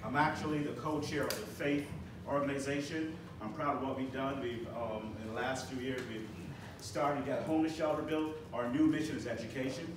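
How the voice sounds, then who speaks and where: A man from Barbados, a financial advisor, giving an earnest short pitch to a meeting audience. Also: steady tone, brisk. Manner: earnest, teaching tone with direct questions. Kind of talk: short self-introduction pitch. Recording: echoey room, mic far off, noisy.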